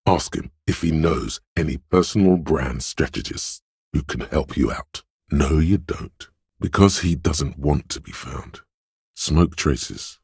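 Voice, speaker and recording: A man voicing a suave, wry inner voice of a detective in a video game, narrating in a measured, knowing way. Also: very deep voice, gravelly, darkish tone, slightly rough, no echo, studio quality, full character voice. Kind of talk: second-person game narration.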